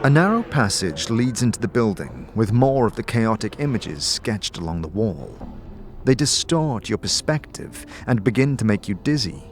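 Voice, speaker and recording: A man's voice: fairly low pitch, sing-song, moderate pace, noisy background. A man narrating a fantasy audio drama in the second person, in a descriptive, dramatic storytelling manner.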